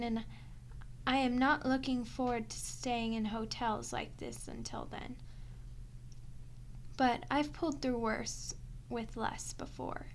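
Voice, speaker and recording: A woman's voice: on the high side, slight background noise. A woman playing a sardonic, teasing, centuries-old female vampire in an ASMR audio roleplay, sweary and unrepentantly bloodthirsty. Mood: cool, matter-of-fact.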